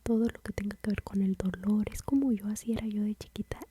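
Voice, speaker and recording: Whispering Mexican woman, very dark tone. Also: medium pitch, level tone, fast, a little hiss or hum. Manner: soft, confiding, rambling with fillers. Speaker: mother sharing a personal story. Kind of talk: whispered ASMR storytelling.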